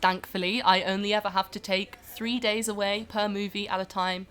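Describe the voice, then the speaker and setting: A woman's voice: mid-range voice, fairly even intonation, normal speed, fairly bright tone, clean tone, close to an everyday voice. A woman playing a pampered, overworked film star being interviewed in a comedy podcast. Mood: polite, promotional. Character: pampered, overworked film star who cracks under press tour. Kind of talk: improvised-sounding comedy podcast interview acting.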